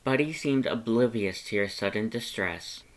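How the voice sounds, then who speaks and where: A man's voice: fairly low pitch, normal speed. A man reading scripted second-person fan fiction as a storyteller.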